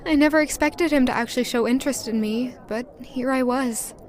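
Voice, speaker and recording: A woman's voice: high voice, moderate pace, a little hiss or hum, natural voice. A woman playing a tender, hopeful young woman in an audio drama, speaking earnestly and wistfully. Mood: wistful, smitten. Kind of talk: audio drama narration and dialogue.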